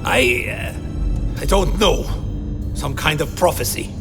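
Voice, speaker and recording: A man playing a bitter, defiant undead antihero, brooding and intense, who narrates and acts in a dark fantasy radio play. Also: a little high, slow. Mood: wary, reluctant. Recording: eerie music behind.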